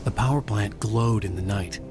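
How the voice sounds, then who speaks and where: An actor playing a brooding writer in a video game, narrating his own horror story gravely and introspectively. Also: lowish voice, moderate pace, loud music behind the voice, lightly acted. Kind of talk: scripted first-person game narration.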